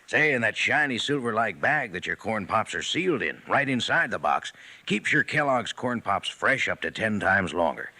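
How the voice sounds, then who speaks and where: An actor playing a folksy old cowboy pitchman in a 1950s radio ad, with a drawl and playful pauses. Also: creaky, bright, forceful tone, heavily acted. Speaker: sponsor pitchman.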